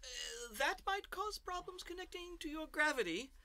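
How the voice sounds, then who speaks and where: A man's voice: very high voice, animated, moderate pace, a crowd in the background. A man playing a nervous, gushing young superfan from another planet in a comedic audio drama. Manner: hesitant, gushing, obsequious.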